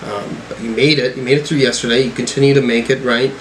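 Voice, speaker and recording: A man hosts a casual music reaction, thinking aloud as he goes. His voice sits at a middling pitch, and some noise runs behind it. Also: fairly even intonation, fast talker, rough recording. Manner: musing, thinking aloud while reading out lyrics. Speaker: host of music reaction videos.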